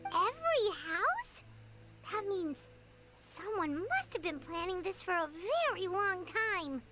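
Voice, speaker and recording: A woman voicing a small, childlike, excitable and inquisitive companion in a video game, with drawn-out exclamations. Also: very high voice, over music, exaggerated voice. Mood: surprised, puzzled.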